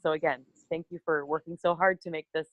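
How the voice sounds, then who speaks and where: A woman's voice: low voice, steady tone, brisk, dry recording, dull audio. A woman who directs a charity foundation, presenting on a conference call in an earnest way, with many fillers and restarts.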